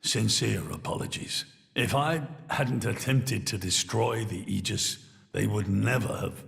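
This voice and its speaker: Gravelly, solemn king. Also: on the low side, a bit bright, heavily acted. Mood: apologetic. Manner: formal, measured, archaic diction. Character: solemn, regal king confessing his dynasty's past. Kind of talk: animated game cutscene acting.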